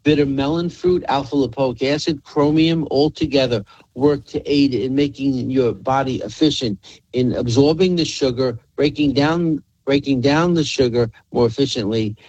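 Emphatic doctor explaining on radio. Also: male voice, mid-range voice, normal speed, a little mellow, no echo, lo-fi sound. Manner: explanatory, emphatic, repeats phrases for effect. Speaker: radio health show host, doctor. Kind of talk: health talk radio with product pitch.

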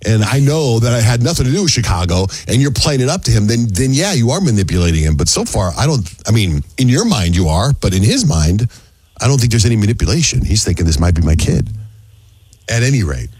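Fast, animated husky-voiced American man hosting radio. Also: mid-range voice, no room sound. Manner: animated, talks through imagined dialogue. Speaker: radio call-in show host. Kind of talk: casual radio banter.

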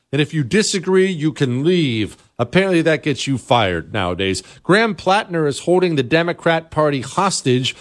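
A man hosting a political commentary show, delivering an opinionated monologue in a scornful, sarcastic manner. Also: on the high side. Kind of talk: opinionated monologue commentary over played clips.

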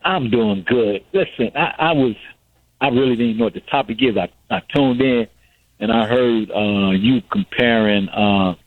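A man's voice: mid-range voice, unhurried, dry recording. A man in his sixties calling into a radio show, arguing insistently and repeating himself to press his points. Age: 60s. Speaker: caller. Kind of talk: radio call-in debate. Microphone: phone. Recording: tinny phone line.

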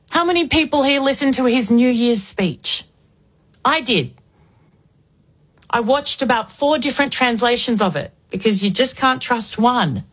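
A woman's voice: fairly high pitch, a bit bright. Punchy Australian woman radio host. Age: 40s. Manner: emphatic, rhetorical, punchy. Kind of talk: political talk radio monologue.

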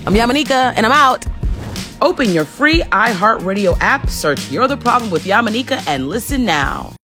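A woman's voice: fairly high pitch, sing-song, normal speed, music in the background. A woman hosting a podcast, reading its promo in a sassy, blunt, slangy way.

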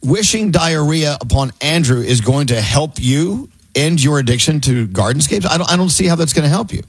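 A man hosting a morning radio show, joking and reacting quickly with his co-hosts. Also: fairly high pitch, lively intonation, husky, no echo.

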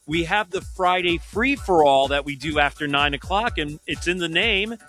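A man hosting a morning radio show, chatting casually and excitably with guests and reading sponsor thanks. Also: on the high side, normal speed, soft background music.

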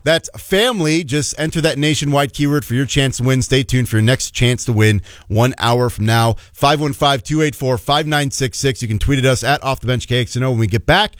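A man's voice: medium pitch, a little fast, hardly any pauses, dry recording, clean studio sound. A man hosting a sports radio show, joking playfully and reading promos. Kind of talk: live radio banter and promo read. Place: radio studio.

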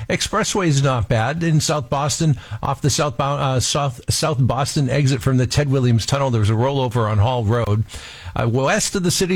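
A man reading a brisk, factual traffic report on the radio. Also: mid-range voice.

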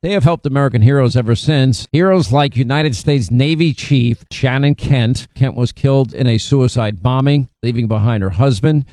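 A man's voice: mid-range voice, moderate pace, few pauses, dark tone, dry recording. A man reading an earnest charity appeal in a sincere, pleading tone.

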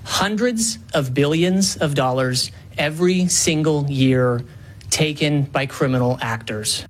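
A man delivering a formal prepared statement with measured, deliberate phrasing. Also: on the high side.

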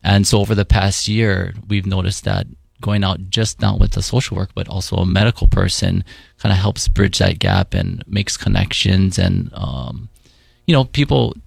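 Deep gravelly man from Hawaii. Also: normal speed, no echo, studio quality. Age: 40s. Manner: relaxed, thoughtful, with many fillers. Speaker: guest, paramedic supervisor. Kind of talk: casual interview in studio. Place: radio studio.

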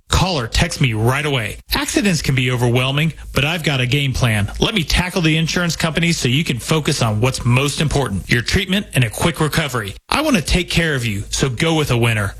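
Husky man reading an earnest radio ad. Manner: earnest, steady pitch. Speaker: advertiser. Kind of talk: read radio ad.

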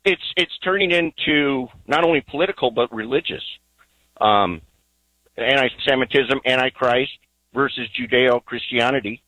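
A man's voice: medium pitch, normal speed, pushed and bright, no room sound, low-quality recording. A man calling in to a talk radio show, earnest and rambling, with many ums. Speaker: caller.

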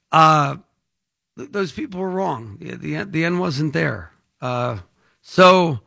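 A man's voice: a little high, vocal fry, a bit bright. A man hosting a financial advice show, talking unscripted in a rambling, conversational way as if thinking aloud.

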